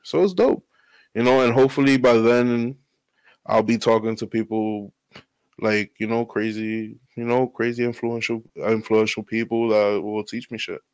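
A man's voice: lowish voice, fairly even intonation, moderate pace, darkish tone, smooth, no echo, poor audio. A man talking as a podcast guest and DJ, laid-back and rambling, swearing freely in casual chat. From New York. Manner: laid-back, profane, rambling with "you know".

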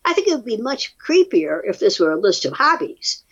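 A woman with a husky voice chatting casually as a podcast guest, musing aloud with firm opinions and rhetorical questions. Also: on the high side, big pitch swings, brisk, dull audio. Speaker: podcast guest reacting to research studies. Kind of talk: casual conversational commentary.

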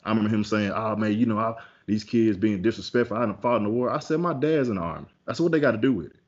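Man, deep voice, candid storyteller, muffled lo-fi sound. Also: quick pace. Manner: candid, conversational, with many fillers and restarts. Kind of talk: casual storytelling in a podcast chat.

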